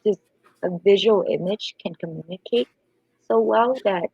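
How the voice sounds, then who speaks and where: Husky woman, native Vietnamese speaker, under the weather. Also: medium pitch, moderate pace, no room sound, muffled recording. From Asia. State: voice off from a lingering illness. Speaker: podcast guest, illustrator. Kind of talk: casual chat.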